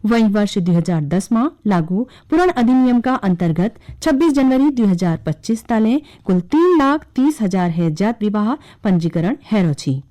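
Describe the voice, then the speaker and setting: Kumaoni-accented woman newsreader, animated pitch. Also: normal speed, few pauses. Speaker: radio news reader. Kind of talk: scripted regional news bulletin reading.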